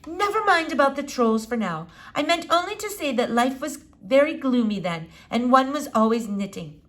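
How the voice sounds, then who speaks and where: An elderly lady doctor from a storybook , as a woman reader plays her, full of wistful philosophy. Her pitch sits fairly high and swoops widely as she makes quaint, emphatic pronouncements. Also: expressive, moderate pace. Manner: emphatic, quaint formal phrasing with repetitions.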